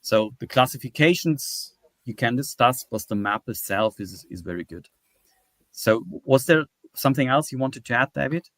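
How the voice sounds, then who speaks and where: A man lecturing in an academic webinar, explaining slides in a halting, step-by-step way. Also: medium pitch, animated, a bit bright, dry recording. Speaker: academic presenter.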